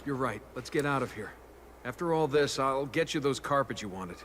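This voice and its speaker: A man's voice: medium pitch, lively intonation, normal speed, fairly bright tone, natural voice. A man playing a wisecracking young adventurer hero in a video game, sarcastic and flippant. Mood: wry. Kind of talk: video game acting, casual banter. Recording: noisy game ambience behind.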